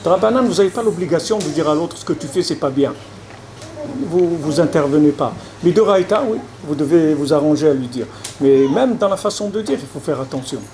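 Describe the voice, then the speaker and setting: Rabbi lecturing, higher-pitched man. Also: expressive, fairly quick, darkish tone. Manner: repeats words, restarts often, asks "vous comprenez?". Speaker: rabbi giving a public lecture. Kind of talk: conversational religious lecture. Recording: noisy lecture recording.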